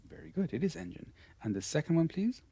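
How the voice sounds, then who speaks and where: A man's voice: a little high, sing-song, quick pace, gravelly, warm tone, husky, no echo. A man with an Irish accent teaching English pronunciation in an online lesson. He talks patiently and encouragingly, repeating his praise often. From Ireland.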